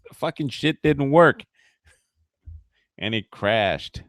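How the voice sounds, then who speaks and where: A man's voice: medium pitch, lively intonation, very slow, no room sound, clean studio sound. A man hosting a livestream, rambling in casual commentary with frequent fillers, profane and mocking. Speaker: livestream host.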